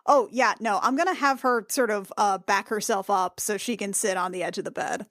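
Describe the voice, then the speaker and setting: A woman's voice: medium pitch, a little fast, a bit bright, no room sound, clean studio sound. A woman playing in a tabletop roleplaying podcast, improvising casually in and out of character. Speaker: player in a tabletop game podcast.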